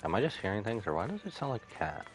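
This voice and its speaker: A man's voice: lowish voice, big pitch swings, racing, faint music behind. A man giving casual, slangy playthrough commentary on a video game as a streamer. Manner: informal, slangy reactions. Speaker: gaming streamer. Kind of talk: casual playthrough commentary, reading dialogue aloud.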